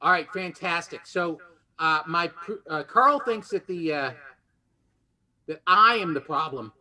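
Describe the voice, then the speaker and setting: A man with a high, strikingly bright voice hosts a quiz game. He speaks in a lively, partly improvised way, with a sharp, forceful edge to his tone. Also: unhurried. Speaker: game show host.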